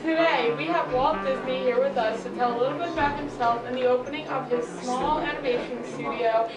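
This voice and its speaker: A woman's voice: high-pitched, steady tone, a little fast, natural voice. A young woman playing a polite radio host in a scripted 1920s interview sketch. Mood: welcoming, polite. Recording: echoey distant mic, loud music. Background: loud instrumental music.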